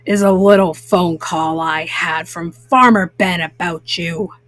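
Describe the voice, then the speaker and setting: A woman voicing a huge, gruff father grizzly bear, stern and growling, in a children's storybook. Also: lowish voice, fairly even intonation, a little slow, fairly bright tone, voice pushed down. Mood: stern, threatening. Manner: growling, menacing.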